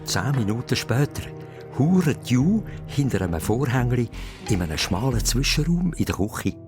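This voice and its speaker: A man's voice: mid-range voice, sing-song, moderate pace, over music. A man with a Swiss accent narrating a children's radio play as a suspenseful story.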